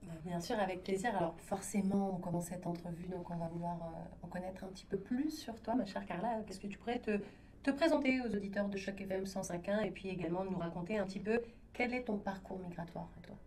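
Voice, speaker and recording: A woman co-hosting a studio radio interview, chatty and quick to help the guest with words. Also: mid-range voice, a little mellow, a little hiss or hum.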